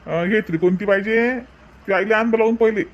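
High-pitched village street seller, acted by a man, Varhadi accent. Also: a little fast, muffled recording. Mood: persuasive, friendly. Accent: Varhadi Marathi from Vidarbha. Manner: folksy, talkative sales patter. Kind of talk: comic cartoon dialogue.

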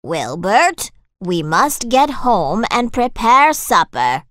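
A woman playing a kindly, prim older village mother, polite and a little sceptical, in an animated children's story. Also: on the high side, slow, no echo, studio quality, full character voice. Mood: polite, brisk.

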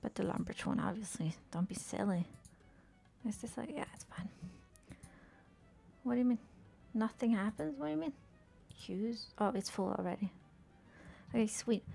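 Young woman streamer, low-key gameplay chatter; mellow, fry-edged, soothing, half-whispered asides. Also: normal speed, pauses often, soft background music. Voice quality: soothing soft voice. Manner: murmuring to herself, often dropping to a whisper. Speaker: game streamer. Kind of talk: casual gameplay commentary.

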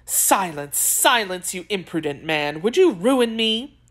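A woman's voice: mid-range voice, sing-song, takes it slowly, voice pushed up. A woman voicing a secretive, imperious young Frenchwoman in an audiobook, speaking in a formal, emphatic and guarded way. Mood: alarmed.

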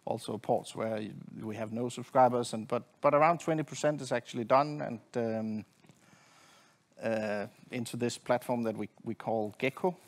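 A middle-aged man giving a technical presentation from a conference stage in a halting, hesitant way. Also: medium pitch. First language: not Swedish. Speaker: conference presenter, network engineer. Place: conference stage at a lectern, indoors.

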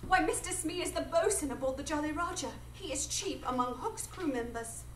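A woman's voice: sits high, a little fast, close to an everyday voice. A woman playing an earnest, idealistic and insistent woman in a live radio drama, speaking formally and emphatically. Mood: excited, urgent. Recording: distant echoey live stage, noisy.

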